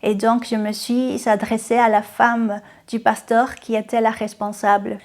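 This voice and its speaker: A woman's voice: fairly high pitch, little pitch movement. Spanish-accented woman giving a testimony. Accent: Venezuelan Spanish. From Venezuela. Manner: earnest, unhurried, with frequent hesitations and restarts. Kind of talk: personal testimony to camera. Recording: faint music behind the voice.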